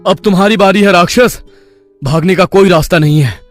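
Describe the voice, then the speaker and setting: A man voicing a brave, determined villager in an animated story, speaking in an earnest, rallying, declamatory way. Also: high voice, a little fast, no room sound, soft background music, lightly acted. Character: brave, determined male village friends defying a demon.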